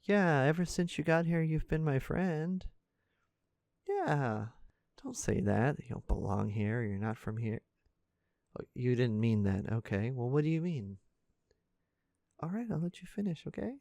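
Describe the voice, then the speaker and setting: Man as halting, caring teenage best friend, close-up. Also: medium pitch, vocal fry, a little mellow, dry recording. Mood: serious, bewildered. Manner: casual, halting, stammering, conversational. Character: earnest, caring teenage male best friend, high school senior. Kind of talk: intimate audio roleplay addressed to the listener.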